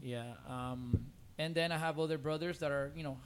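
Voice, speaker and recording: A man's voice: on the high side, normal speed, clear, resonant tone. Hesitant middle-aged man with a Cuban accent. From Costa Rica, born in Cuba. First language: Spanish. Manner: hesitant, rambling, many "you know"s. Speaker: podcast guest. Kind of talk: casual conversational interview.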